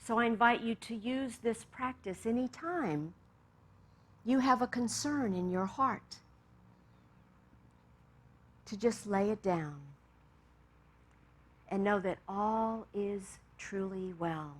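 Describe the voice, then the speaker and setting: Woman minister, mid-pitched voice, gentle and chatty storytelling with humorous asides. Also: slow pace, long pauses. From Texas. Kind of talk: sermon with guided meditation.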